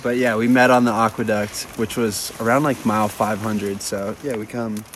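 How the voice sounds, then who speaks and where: Man, lowish voice, easygoing hiker chat, outdoors, loud background noise. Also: fairly even intonation, moderate pace. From Los Angeles, USA. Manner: easygoing, reminiscing. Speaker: thru-hiker. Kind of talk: casual group chat. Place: outdoors at a trail's end in rain.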